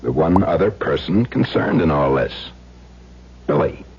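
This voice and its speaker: A man's voice: rumbling low, big pitch swings, unhurried, gravelly, darkish tone, breathy edge, heavily acted. An actor playing a crude, taunting blackmailer husband with slangy tough-guy talk, in old-time radio drama style. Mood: smug menace. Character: crude blackmailing husband back from presumed death, slangy and menacing. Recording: dull lo-fi radio recording, faint hum.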